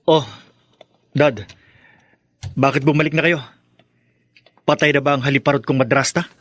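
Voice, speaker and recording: A man's voice: medium pitch, steady tone, normal speed, a bit bright, dry recording, natural voice. An actor playing a spiteful grown son in a radio drama, scornful toward his family. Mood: gloating. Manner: scornful, defiant.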